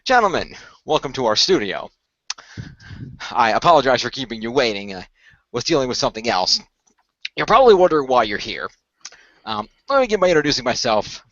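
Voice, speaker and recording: A polite young man in a radio play voiced by a male narrator, eager and formal but hesitant. He explains himself apologetically, in a medium-pitched voice on a dry, slightly dull recording. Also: sing-song, fairly quick, long pauses, fairly bright tone, dry recording. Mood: polite, apologetic.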